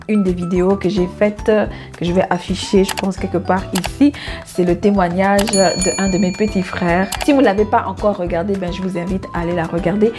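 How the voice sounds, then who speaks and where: A woman in her thirties from Gabon, introducing herself in a casual faith vlog, cheerful, laughing often and saying amen. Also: on the low side. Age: 30s.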